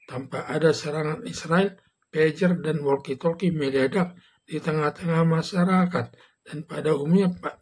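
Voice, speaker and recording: Older male commentator, slightly high and raspy, formal, halting read from a script. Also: darkish tone. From Indonesia. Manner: formal, halting delivery with stumbles over words. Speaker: legal commentator, retired prosecutor and law lecturer.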